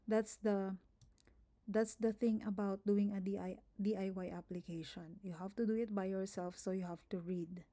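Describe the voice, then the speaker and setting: This is a young woman with a Filipino accent talking through a tutorial, her first language Tagalog. Her voice is mellow and fairly level in pitch. She repeats phrases, restarts words, and keeps checking in with "okay?" as she explains. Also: steady tone, moderate pace. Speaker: nurse giving an application walkthrough. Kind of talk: screen-recorded tutorial, reading and explaining.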